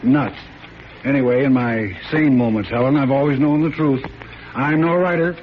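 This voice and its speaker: An actor playing a smooth, colloquial husband with a falsely tender air in a 1940s style radio suspense drama. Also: male voice, mid-range voice, normal speed, natural voice. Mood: gruff, dismissive. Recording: muffled, noisy old radio recording.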